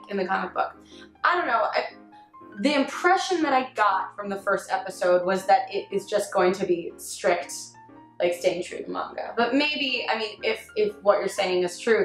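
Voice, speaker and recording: A young adult woman chatting casually about anime in an excitable, giggly way. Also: medium pitch, normal speed. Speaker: panelist, amateur fan-dub voice actress. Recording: echoey room, faint music underneath.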